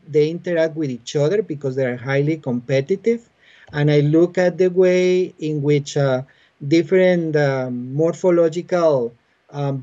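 A Costa Rican man in his forties speaks English with a Spanish accent, his voice pitched a little high but soft and warm. He explains things patiently, pausing on frequent "uh"s. The sound is muffled, like a laptop mic on a video call. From Costa Rica. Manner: patient, halting, many "uh" fillers. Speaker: biologist and university teacher. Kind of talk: explanatory interview answers. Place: home room with bookshelves. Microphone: laptop webcam on a video call.

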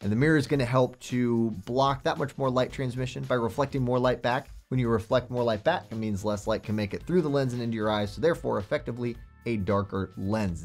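A man's voice: mid-range voice, darkish tone. A young man hosting a product review to camera, chatty and enthusiastic in a salesman way, with faint music behind him. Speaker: host.

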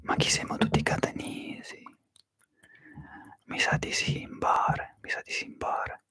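A man whispering through a live ASMR stream, softly greeting viewers and slowly repeating their names into a close microphone. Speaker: ASMR livestreamer.

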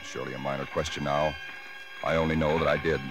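An actor playing a haunted, brooding American husband in a 1940s radio drama, speaking haltingly and intensely. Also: male voice, rumbling low, flat delivery, poor audio. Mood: bleak foreboding. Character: haunted, dread-filled husband recounting nameless horrors. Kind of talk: 1940s radio drama acting, first-person narration. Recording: 1940s radio broadcast with loud music.

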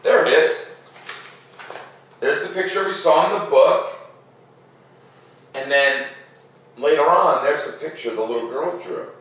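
A middle-aged man reading a storybook aloud to children with casual commentary, expressive and drawing out words as he reads. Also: on the high side, a little slow, long pauses. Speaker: read-aloud host. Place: living room, seated in armchair by fireplace. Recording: roomy echo, distant microphone.

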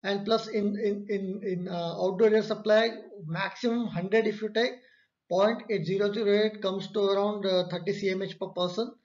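A man's voice: sits high, steady tone, normal speed, a little mellow. A man, lecturing in an online course and repeating key phrases for emphasis. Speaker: instructor. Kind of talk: online course lecture walking through slides. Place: indoor room, webcam overlay on slides. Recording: slightly dull lo-fi recording.

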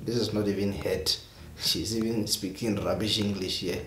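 Young male vlogger, lowish voice, exasperated and rambling, echoey room with faint hiss. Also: steady tone, moderate pace, fairly bright tone. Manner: exasperated, rambling with repeated restarts. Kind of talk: casual talk to camera. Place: small indoor room with purple lighting.

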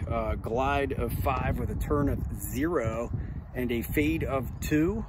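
A man in his forties giving a relaxed, plain-spoken disc golf lesson outdoors in an open field, recorded on a nearby camera. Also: mid-range voice, noisy background. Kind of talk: outdoor instructional talk.

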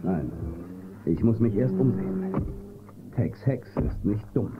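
A man playing a calm, brave space-western marshal with a measured, reassuring manner in a German children's audio drama. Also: fairly low pitch, over music. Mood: resolute. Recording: tinny, noisy old cassette recording.

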